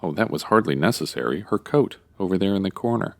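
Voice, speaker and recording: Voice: low-pitched, expressive, fast talker, creaky. An actor playing a solemn, unctuous funeral parlor attendant in a comedy audio drama, formal, lugubrious and hesitant. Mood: awkward, solemn.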